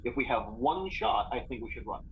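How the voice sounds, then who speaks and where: A man's voice: medium pitch, lively intonation, a little fast, muffled recording, a little hiss or hum. A man talking casually as a player in a tabletop game.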